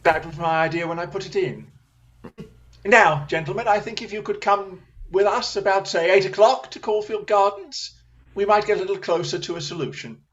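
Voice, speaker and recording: An actor playing a brilliant, wry, self-assured Victorian detective in an audio drama, with a crisp, theatrical, commanding manner. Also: mid-range voice, moderate pace, lo-fi sound, natural voice. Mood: wry, pleased.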